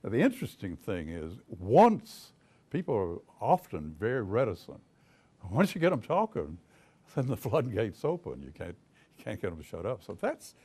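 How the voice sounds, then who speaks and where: An older man with a deep voice and a Southern US accent, a private investigator telling folksy stories to an audience, chuckling as he goes. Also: a little mellow, slightly rough. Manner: folksy storyteller, chuckles often, many restarts. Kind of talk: casual talk to an audience.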